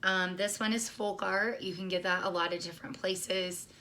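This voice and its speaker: A woman with a scratchy, hoarse voice from being sick, casually talking through a painting tutorial with lots of ums and restarts. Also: medium pitch, steady tone, moderate pace. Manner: chatty, many ums and restarts. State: hoarse, recovering lost voice. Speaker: painting instructor.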